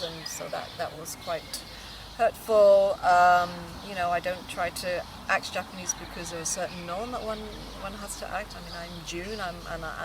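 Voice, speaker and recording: A middle-aged woman from the UK, answering interview questions thoughtfully, pausing and restarting often as she searches for words. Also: lowish voice. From United Kingdom. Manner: hesitant, many pauses and restarts, thoughtful. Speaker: documentary interviewee. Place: outdoors in a city park or street. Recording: distant mic with outdoor noise. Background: city ambience, birds, passing traffic.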